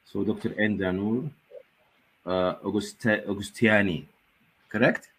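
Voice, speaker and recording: A man's voice: lowish voice, steady tone, a little slow, fairly bright tone, husky. A man chairing an academic conference session on a video call, chatting casually with many fillers and restarts.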